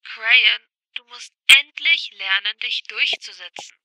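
Bossy, exasperated mare for a fan cartoon, played by a woman; highish, piercingly bright. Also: slow, slight background noise, full character voice, rough recording. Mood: annoyed, bossy. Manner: exasperated, assertive. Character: bossy, impatient female cartoon pony cousin. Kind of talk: amateur fan audio drama.

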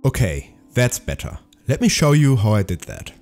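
A man narrating his game development log in a calm, methodical, explanatory way. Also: mid-range voice, moderate pace. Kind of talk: scripted devlog narration.

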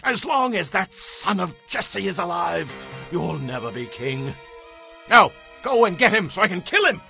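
A man's voice: sits high, very animated, a little slow, gravelly, sharp tone, soft background music, full character voice. A man playing an enraged, domineering king and father in a dramatized audio Bible, harsh and accusatory. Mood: furious.

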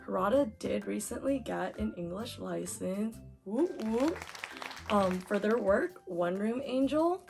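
A young woman with a hoarse voice from talking too much, chatting excitedly while unboxing manga. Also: mid-range voice. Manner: chatty, excitable, lots of fillers. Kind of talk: casual unboxing chat.

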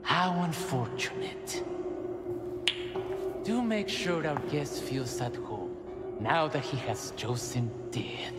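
Theatrical cult-fanatic nobleman, courtly and pompous, highish animated voice, game villain. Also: takes it slowly. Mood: grandiose menace. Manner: courtly, grandiose, affected formality. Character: pompous, theatrical little castle lord and cult zealot. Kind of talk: theatrical video game cutscene acting. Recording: echoey hall reverb, music behind.

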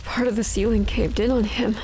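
A woman's voice: a little high, level tone, a little fast, mellow, slight background noise, lightly acted, low-quality recording. A woman playing a level-headed police detective in an audio drama, terse, dry and matter-of-fact. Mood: grave.

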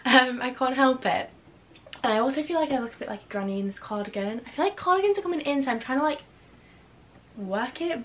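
A young woman, slightly croaky from a chest infection, chatting casually and rambling with lots of fillers as a fashion vlogger in her bedroom. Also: a little high, fairly bright tone, slight background noise. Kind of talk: casual chat. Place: bedroom, indoors.

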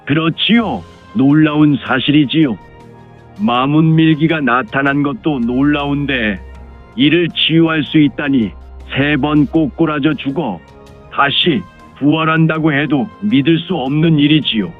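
A man's voice: a little high, unhurried. A man playing a hot-blooded clan lord who fawns on his superiors, speaking formally and deferentially in a martial-arts audio drama. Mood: secretive, earnest. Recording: phone-like narrow sound, music behind.

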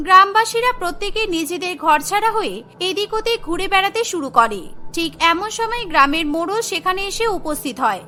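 খুব উঁচু, উজ্জ্বল গলার নারী গল্পকথক. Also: female voice, extremely high pitch, expressive, brisk, pushed and bright, soft background music. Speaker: storyteller, narrator. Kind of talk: scripted cartoon story narration.

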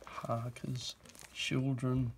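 A man presenting an auction lot, reading postcard captions aloud in short, unhurried bursts at a desk. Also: medium pitch, little pitch movement, background noise. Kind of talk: reading out postcards while browsing. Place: indoor office desk.

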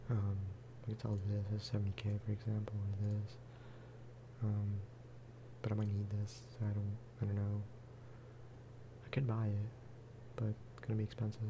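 A man giving soft-spoken ASMR game commentary in a hushed, unhurried voice that at times slips toward a whisper. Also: noisy background. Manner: unhurried, low-key, musing aloud. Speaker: gaming video creator.

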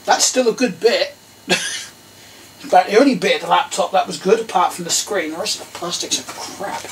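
A man's voice: high-pitched, a little fast, a bit bright. A middle-aged man with an English accent, thinking aloud casually and questioning himself as he works. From England. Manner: muttering to himself, self-questioning. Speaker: video creator tinkering with old electronics. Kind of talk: casual thinking-aloud commentary while working. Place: small tiled indoor workshop. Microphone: camera mic a little away. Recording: distant mic, noisy workbench clatter. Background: clear noise, clicks and clatter of tools.

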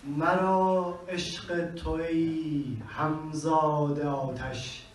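A man with a Persian accent reading poetry slowly on a small stage, with measured, dramatic pauses. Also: fairly high pitch, little pitch movement, slight background noise. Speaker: poet. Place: small venue with a live audience. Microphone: handheld mic on a stand, recorded from far in the room. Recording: echoey room from far off.

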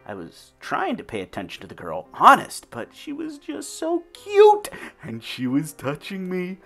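A man's voice: high voice, wildly swooping pitch, unhurried, creaky, fairly bright tone, soft background music. A man giving a wry, theatrical first-person reading of his own fan fiction. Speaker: narrator.

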